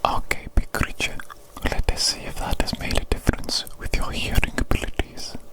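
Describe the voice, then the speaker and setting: A woman playing an evil doctor in a whispered ASMR medical roleplay, close to the mic, repeating phrases to the patient. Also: lightly acted. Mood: attentive, coaxing. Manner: slow repeated phrases, soothing and attentive. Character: evil doctor, doting on patient, gleefully vengeful. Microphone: close ASMR microphone.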